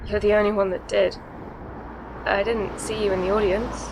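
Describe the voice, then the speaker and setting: A woman's voice: medium pitch, level tone, normal speed, noisy background, close to an everyday voice. An actress in a radio play playing an idealistic, weary woman poet with a dry, guarded manner. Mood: guarded, sardonic. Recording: roomy echo with long reverb.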